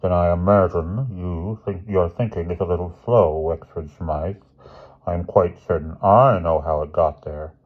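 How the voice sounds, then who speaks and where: A man's voice: deep voice, steady tone, a little slow, breathy edge, narrow, tinny audio. A man voicing a stern middle-aged headmaster in a children's audiobook, speaking with dry, formal authority. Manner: grim, formal, clipped authority. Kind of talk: children's novel audiobook character voice.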